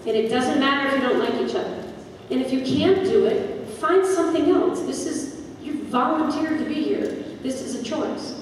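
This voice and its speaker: A woman's voice: high-pitched, animated, moderate pace. Woman speaking in a very echoey hall. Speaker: drama director hosting audience Q&A. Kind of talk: spontaneous talk to an audience. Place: school theater. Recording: echoey auditorium from far off, noisy.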